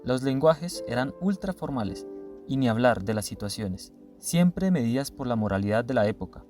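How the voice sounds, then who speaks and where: A man's voice: medium pitch, lively intonation, moderate pace, a little mellow, soft background music. A man reading scripted documentary narration.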